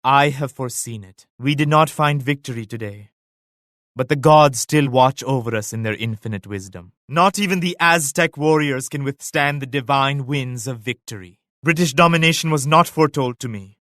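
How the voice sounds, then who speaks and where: An actor playing a devout, solemn emperor and military leader in a strategy game, with measured, grave, pious declamation. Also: male voice, mid-range voice, a bit bright, no echo, clean studio sound, close to an everyday voice. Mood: grave, regretful. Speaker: video game character voice actor. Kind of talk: scripted strategy game voice lines.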